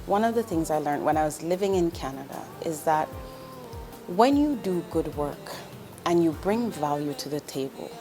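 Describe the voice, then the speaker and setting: Jamaica-born woman in her 40s, kinda low voice, talks straight, lots of ums, faint music behind. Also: a little mellow. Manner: earnest and direct, with frequent ums and restarts. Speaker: investment executive, interview guest. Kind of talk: candid interview answers.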